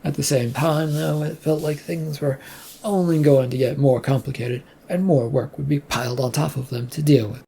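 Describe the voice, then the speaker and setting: Husky mellow narrator reading a story out loud, bit of gravel in it. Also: mid-range voice, fairly even intonation, normal speed.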